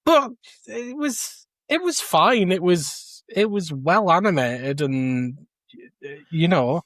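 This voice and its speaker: A man co-hosting a podcast, giving casual review opinions in a measured, hesitant delivery. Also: high voice, expressive, a bit bright, no echo, clean studio sound. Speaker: podcast co-host.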